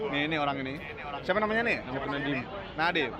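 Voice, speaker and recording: A young Indonesian man fires off questions at an outdoor festival, talking fast and upbeat in a fairly high voice. A steady crowd murmur fills the background of the noisy recording. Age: young adult. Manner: quick, chatty, upbeat. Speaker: street interviewer. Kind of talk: vox-pop interviews. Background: crowd chatter.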